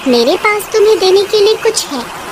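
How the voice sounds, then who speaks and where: A woman plays a magical female wind spirit from a cartoon fairy tale. She is calm and kindly, speaking high and measured as if giving instructions. Faint noise sits behind the voice. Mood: calm, instructing.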